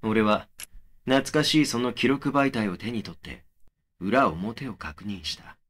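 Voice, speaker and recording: A man's voice: on the low side, lively intonation, measured pace, fairly bright tone, slight background noise. A man as brooding young male horror narrator. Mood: brooding, matter-of-fact. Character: young man recounting an eerie memory in horror story. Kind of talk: first-person horror audio drama narration.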